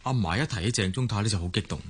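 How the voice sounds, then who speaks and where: A man's voice: fairly low pitch, very fast, slightly rough. An actor with a Hong Kong Cantonese accent plays a down-to-earth, sceptical young man in a radio drama. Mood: reassuring. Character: down-to-earth young grandson, loyal and sceptical friend.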